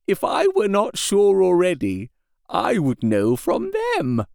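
Man doing a high, breathless dying patient, slow, strained, pleading. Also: huge pitch swings, gravelly, darkish tone, clean tone, no echo, clean studio sound, exaggerated voice. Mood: haunted. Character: dying male asylum patient, weak, desperate, gasping. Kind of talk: audiobook character reading.

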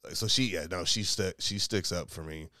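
A soft-spoken man with a very low voice co-hosts a casual podcast. He rambles and keeps restarting his sentences, breaking into quick laughs. He is recorded dry and close on a studio mic. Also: no echo. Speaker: podcast co-host. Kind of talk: casual chat.

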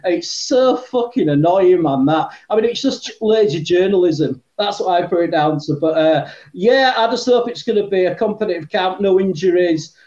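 A man's voice: sits high, lively intonation, moderate pace, few pauses, darkish tone. A man with a Yorkshire accent, chatting as a podcast guest, rambling with lots of fillers and the odd swear word. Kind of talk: casual chat. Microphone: earbuds. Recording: echoey room, dull lo-fi earbud mic.

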